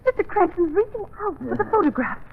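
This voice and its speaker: A woman's voice: extremely high pitch, animated, quick pace, a little hiss or hum. An actress playing an earnest, anxious young woman in vintage radio drama style. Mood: urgent, pleading. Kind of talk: 1930s radio drama acting. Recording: tinny vintage radio recording.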